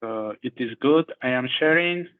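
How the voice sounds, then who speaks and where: Halting man on a tinny call. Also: mid-range voice. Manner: halting, non-native phrasing. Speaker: product manager presenting a demo. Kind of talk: live technical demo. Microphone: video call. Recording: tinny phone-like call line.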